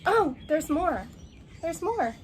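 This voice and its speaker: Woman teacher, high voice, slow storybook read-aloud delivery, slightly noisy recording. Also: big pitch swings. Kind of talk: animated children's story read-aloud with banter.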